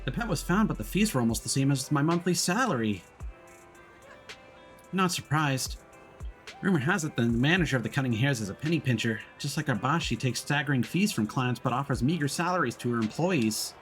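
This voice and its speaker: Guy doing a moany underpaid worker from a game, gossipy, pitched up a bit, music behind. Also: lively intonation, brisk, close to an everyday voice. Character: grumbling underpaid employee, video game passerby. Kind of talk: reading game dialogue aloud.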